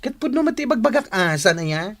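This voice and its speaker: A woman's voice: a little high, very animated, a little fast, fairly bright tone, full character voice. A woman with an Ilocano Filipino accent playing a blunt, protective young friend in a radio drama. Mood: scolding.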